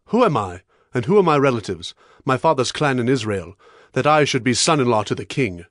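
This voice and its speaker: A man's voice: medium pitch, very animated, a little fast, no echo, studio quality, natural voice. A man playing a humble, deferential subject of an ancient king in a dramatized Bible story, formal and earnest. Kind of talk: dramatized scripture acting.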